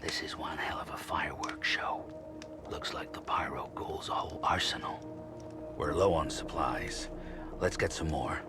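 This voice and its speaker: Very deep-voiced wry mutant scavenger. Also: male voice, moderate pace, whispered. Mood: wary. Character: wry, gruff male mutant scavenger hero. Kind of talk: video game character acting. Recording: loud music behind the voice. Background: loud game music.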